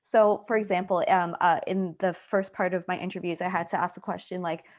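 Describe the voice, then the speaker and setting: A woman's voice: lowish voice, fairly even intonation, a little fast, darkish tone. Young woman, student panelist, chatty, filler-heavy, muffled video call audio. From Canada. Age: young adult. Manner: chatty, many "like" fillers, quotes people. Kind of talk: casual explanatory answer.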